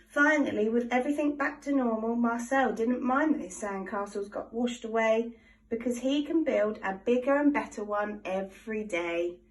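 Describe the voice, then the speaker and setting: A woman reading a children's picture book aloud in an expressive, animated storytelling manner. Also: fairly high pitch, moderate pace, recorded from a distance, rough recording. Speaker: storybook reader.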